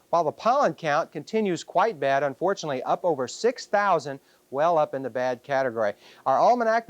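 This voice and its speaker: A man's voice: on the high side, no echo. Chatty 1980s TV weatherman. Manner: chatty, conversational delivery. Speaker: weather forecaster. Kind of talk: broadcast weather report.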